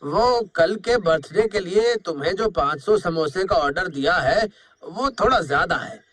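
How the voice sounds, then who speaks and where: A man's voice: sits high, animated, normal speed, a bit bright. A man playing a wealthy, genial merchant customer in a cartoon story, talking in an assured, friendly way. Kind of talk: Hindi cartoon story acting.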